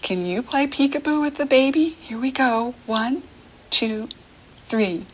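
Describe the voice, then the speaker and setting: American woman, children's reading teacher. Slow, breathy delivery, encouraging and instructive, simple phrases straight to the child. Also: sits high, animated. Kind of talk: children's picture-book lesson, talking directly to the child.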